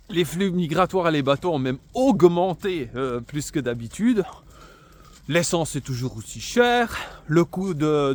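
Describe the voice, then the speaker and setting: A man giving an unscripted political monologue to camera, emphatic and sarcastic, with many fillers and restarts. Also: fairly high pitch. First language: bilingual French and Italian. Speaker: political commentator.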